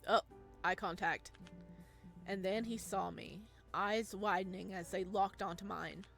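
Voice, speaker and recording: A weary, anxious young man read by a woman narrates a visual novel over background music. He is introspective and hesitant, full of self-doubt, in a mid-pitched female voice. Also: fairly even intonation, measured pace, gravelly, a bit bright. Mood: awed, nervous.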